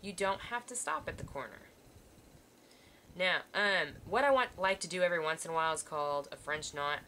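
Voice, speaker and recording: Bright-voiced woman teaching sewing. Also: lowish voice, lively intonation, fairly quick, a little hiss or hum. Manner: patient, reassuring, talks while working hands-on. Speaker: art teacher. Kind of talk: step-by-step sewing demonstration. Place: indoors at a table, overhead camera on hands.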